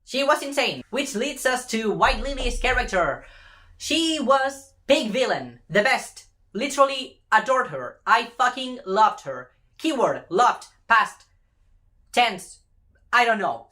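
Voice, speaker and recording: A woman's voice: a little high, big pitch swings, slow, sharp tone. A woman in a casual, rambling rant, retelling a story with sarcastic, sweary exclamations.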